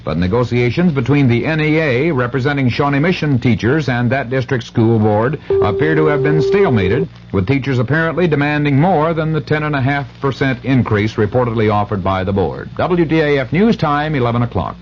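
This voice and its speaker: A man's voice: mid-range voice, lively intonation, a little fast, hardly any pauses. An American man reading scripted local news as a radio anchor on a muffled old AM broadcast.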